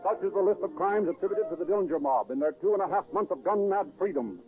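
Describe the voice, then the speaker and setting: A man's voice: high-pitched, animated, quick pace. A man acting as a grave, florid narrator of a true-crime radio drama in dramatic 1930s style. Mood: grave, urgent. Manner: declamatory, florid, solemn. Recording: tinny 1930s radio broadcast, music behind.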